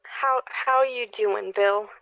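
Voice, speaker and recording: A woman playing a desperate, pleading neighbour and parent in a radio play, with halting, stammering delivery. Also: mid-range voice, fairly even intonation, creaky, lo-fi sound, heavy background noise. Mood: anxious, bitter. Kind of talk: dramatic radio play acting.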